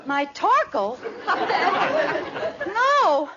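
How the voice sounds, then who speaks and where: An actress playing a scheming but gullible wife in a 1940s radio comedy, theatrical and wide-eyed. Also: female voice, very high voice, very animated, drawn-out words, highs cut off, a loud crowd, exaggerated voice. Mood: alarmed, gullible. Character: scheming wife feigning illness, growing gullible and alarmed. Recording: noisy old radio recording, loud studio audience.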